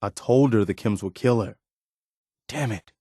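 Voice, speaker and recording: An actor playing an earnest, loyal and idealistic militia officer in a video game, measured and plain-spoken. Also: male voice, lowish voice, dark tone, dry recording, clean studio sound. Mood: regretful, grieving. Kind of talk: video game character dialogue.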